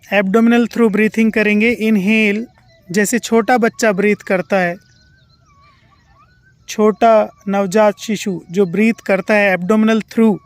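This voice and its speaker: An Indian yoga nidra instructor guiding relaxation in a calm, soothing way with drawn-out instructions and pauses. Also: medium pitch, faint music behind. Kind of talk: guided relaxation meditation.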